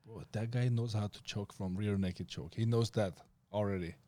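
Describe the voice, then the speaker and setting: A man's voice: on the low side, fairly even intonation, normal speed, mellow. A man from Armenia, a martial artist guest talking casually in broken English, full of "like" and "bro". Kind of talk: casual conversation.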